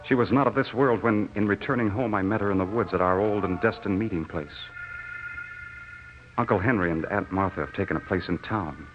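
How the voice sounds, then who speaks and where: An actor playing a lovestruck, haunted young returned soldier in an old radio drama, earnest and melodramatic. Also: male voice, fairly low pitch, fairly quick, faint music behind, close to an everyday voice. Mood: brooding, devoted. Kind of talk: 1940s radio drama acting. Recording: muffled, hissy old radio recording.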